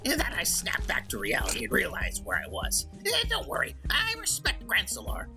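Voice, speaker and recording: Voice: mid-range voice, wildly swooping pitch, moderate pace, sharp tone, faint music behind, full character voice. An actor voicing a sly, crude male goblin who hosts a comedic radio call-in show, smirking and rambling. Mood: shamelessly unrepentant. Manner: smirking, self-justifying, rambling with drawn hesitations. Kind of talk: comedic in-character monologue and banter.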